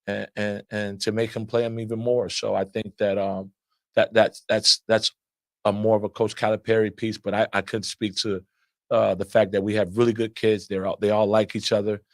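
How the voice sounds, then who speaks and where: A man coaching basketball fields reporters' questions with a loud voice set a little low. He often restarts a phrase several times before pushing through to the point. The sound is dry and close, with no room around it. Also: moderate pace, no room sound. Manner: repeats words often while building answers, steady and earnest. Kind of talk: press conference answers.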